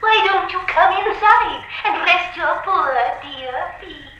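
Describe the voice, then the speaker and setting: Very high, sugary old witch. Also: lively intonation, takes it slowly, pushed and bright, exaggerated voice. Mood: sweetly coaxing. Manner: cooing, wheedling, chuckling. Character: sugary, cackling old witch luring children. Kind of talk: radio play fairy-tale acting. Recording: roomy, distant, dull, slightly noisy.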